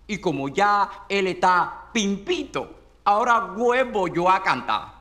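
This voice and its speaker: A man's voice: sits high, big pitch swings, heavily acted. A man performing a dialect recitation as a doting mother soothing her little boy, tender and crooning, with a Caribbean Spanish accent. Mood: defiant, contented. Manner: tender, crooning, drawn-out baby talk. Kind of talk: theatrical dialect poetry recitation.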